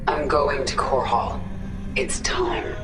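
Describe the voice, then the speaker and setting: A woman's voice: a bass voice, steady tone, takes it slowly. A woman voicing a vengeful, battle-hardened alien queen in a video game, commanding and grave, with a layered echoing effect. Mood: grim resolve. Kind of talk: dramatic video game acting. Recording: echoey, distant voice under loud music.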